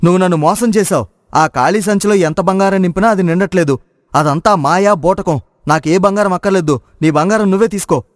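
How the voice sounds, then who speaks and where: A man playing a naive, excitable village cowherd in a moral story, exclaiming and questioning. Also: high voice, fairly quick, dry recording, studio quality, long reverb tail, close to an everyday voice. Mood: angry. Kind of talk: Telugu moral story voice acting.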